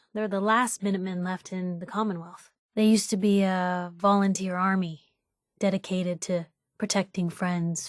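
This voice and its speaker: An actress plays a young American woman working as a reporter in a post-apocalyptic game world. She talks in snappy, streetwise banter with a sardonic edge and a stubborn, nosy drive. Her voice sits at a medium pitch and is recorded very dry. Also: no room sound, close to an everyday voice. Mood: wry, explaining. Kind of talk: video game character dialogue.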